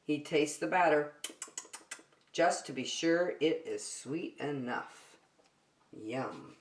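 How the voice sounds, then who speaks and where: A woman reading a picture book aloud to young children as a circle time teacher, expressive and speaking directly to her listeners. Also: sits low, takes it slowly, reverberant, a little hiss or hum. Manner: expressive, addresses young listeners with questions and prompts.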